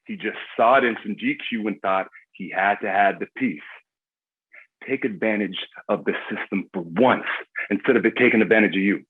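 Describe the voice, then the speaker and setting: An actor playing a cocky, foul-mouthed crime boss with swaggering street talk in a screenplay table read. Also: male voice, lowish voice, normal speed, husky, narrow, tinny audio. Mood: boastful, menacing.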